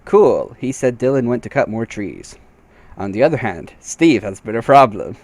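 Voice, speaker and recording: A man's voice: mid-range voice, expressive, normal speed, a little mellow, no room sound. A man giving a comedic dramatic reading of a fan story in an amused, theatrical way. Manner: theatrical, amused, often breaking into laughter. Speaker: reader.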